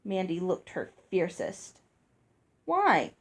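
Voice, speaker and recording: A woman's voice: mid-range voice, lively intonation, normal speed. A woman reading a novel aloud in a plain, unpolished way, with the odd slip. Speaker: reader.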